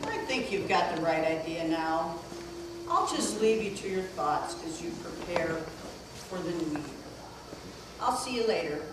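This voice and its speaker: A woman's voice: on the low side, expressive, unhurried. A woman playing a serene, wise sage who teaches from scrolls in an amateur puppet play. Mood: serene, approving. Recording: very echoey, distant, background noise.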